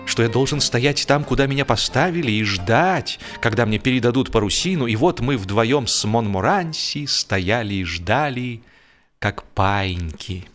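A man narrating a humorous first-person story expressively, with dramatic pauses and a shifting tempo. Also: mid-range voice, expressive, a bit bright. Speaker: audiobook narrator. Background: music.

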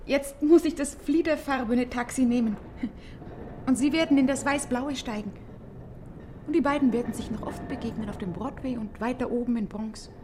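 A woman's voice: fairly high pitch, moderate pace, warm tone, a little hiss or hum, natural voice. An actress in a German radio play as a playful, romantic young female student, girlish and dreamy. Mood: shy, wistful.

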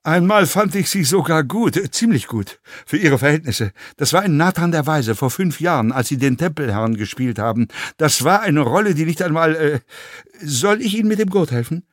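A man's voice: on the high side, animated, moderate pace, no echo, studio quality, pitched above the performer's own voice. A man voicing an intrusive, chatty male passenger in a story, rambling with hesitations and needling insults. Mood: scornful.

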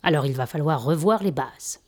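Audio drama mentor, played by a woman: female master, slightly low voice, assured, blunt, instructive. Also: moderate pace, slight background noise, close to an everyday voice. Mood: calm, instructive.

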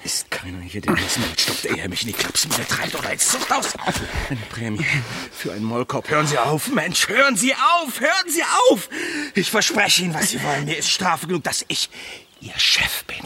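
An actor playing a blustering, exasperated construction site chief, ranting with bossy sarcasm in a radio play. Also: male voice, a little high, huge pitch swings, moderate pace, pushed and bright, husky, exaggerated voice. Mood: desperate, exasperated. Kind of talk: theatrical radio play acting.

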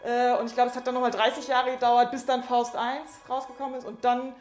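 A woman teaching a school German class in a classroom, speaking hesitantly and haltingly. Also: on the high side, little pitch movement, darkish tone, faint music behind. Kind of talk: classroom lesson. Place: echoing classroom. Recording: echoey room.

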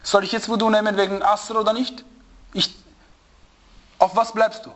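Male religious teacher, high voice, emphatic, repeats points and quizzes his class. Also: flat delivery, normal speed, fairly bright tone. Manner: emphatic, repeats key phrases, asks rhetorical questions; searches for German words and asks students. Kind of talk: religious lesson to students.

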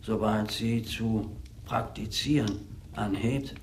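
A male actor in a German radio play, playing a self-important, pedantic man of learning who declaims in a deliberate way. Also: fairly low pitch, fairly even intonation, gravelly, a little hiss or hum. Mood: solemn, pedantic.

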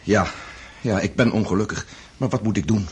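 An actor playing a wealthy young Russian count in a radio drama, unhappy and doubting, speaking in a polite, brooding, hesitant way. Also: male voice, lowish voice, moderate pace, darkish tone, husky. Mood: despairing. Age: young adult. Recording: noisy old radio play recording.

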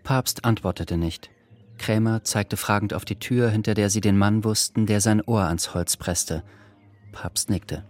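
A man's voice: on the low side, unhurried, darkish tone, soft background music. A man reading scripted literary narration as the narrator of a radio drama.

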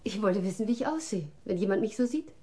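A woman's voice: medium pitch, lively intonation, fast, warm tone, close to an everyday voice. An actress playing a young, bold, unembarrassed policewoman with a direct, provocative manner in a radio play. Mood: teasing.

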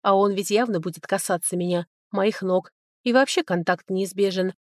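A woman's voice: medium pitch, normal speed, darkish tone, no room sound, clean studio sound. A woman reading a first-person story aloud as an audiobook narrator, in a scripted storytelling style.

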